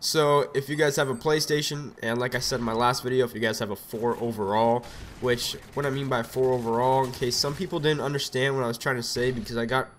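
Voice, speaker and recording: A young man with a cold, his voice a bit raspy, talking loosely over his gameplay on a desk mic at home, rambling with lots of fillers. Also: mid-range voice, fairly even intonation, brisk, slight background noise. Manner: rambling, filler-heavy, laid-back. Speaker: gameplay commentator. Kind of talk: casual gameplay commentary. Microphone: USB desk mic.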